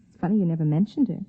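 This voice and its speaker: Anxious wife, husky vintage radio voice. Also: female voice, on the low side. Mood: suspicious, serious. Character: anxious, jealous young wife of a spaceman, narrating her own story. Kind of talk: 1950s radio drama acting. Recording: muffled vintage 1950s radio recording.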